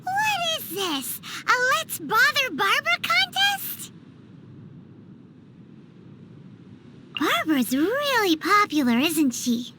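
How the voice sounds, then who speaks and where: A woman voicing a tiny, chatty, cheeky floating fairy companion in a video game, with a squeaky childlike voice and an excitable manner. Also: unhurried, slight background noise, exaggerated voice. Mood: curious, cheeky. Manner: speaks of self in third person, excitable. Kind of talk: video game character dialogue.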